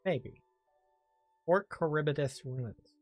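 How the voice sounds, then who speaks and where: A man's voice: a little high, slow pace, a little mellow, no echo, soft background music. A man giving casual let's play commentary, thinking aloud in a hesitant, rambling way. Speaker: game streamer.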